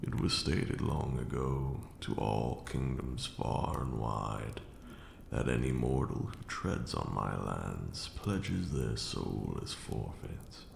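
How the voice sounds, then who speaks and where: An extremely deep, heavily creaking voice of an ancient vampire lord acted by a man, slow and formal. He is a stern but caring mentor in an intimate ASMR roleplay. The sound is echoey, with clear background noise. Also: expressive, exaggerated voice. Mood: solemn, consoling. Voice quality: gravelly, very deep. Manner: formal, archaic, measured phrasing. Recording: roomy echo with audible background noise.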